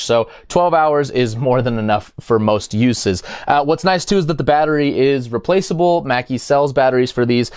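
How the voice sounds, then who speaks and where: A man's voice: medium pitch, no echo, clean studio sound. A man talking casually and unscripted as a product reviewer, explaining things in a conversational way.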